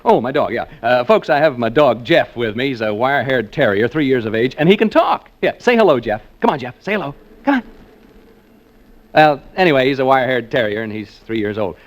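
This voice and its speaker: A man's voice: medium pitch, expressive, brisk, natural voice. A man acting a jokey, folksy American radio host turned ghost hunter, in 1940s radio drama style with theatrical emphasis. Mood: joking, jittery. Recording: noisy old radio show recording.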